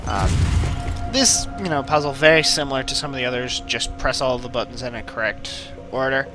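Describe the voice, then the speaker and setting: A man's voice: mid-range voice, brisk. A man giving casual, playful let's play commentary as host of a gaming video. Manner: jokey, playful. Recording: game music and gunfire behind. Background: game music, gunfire.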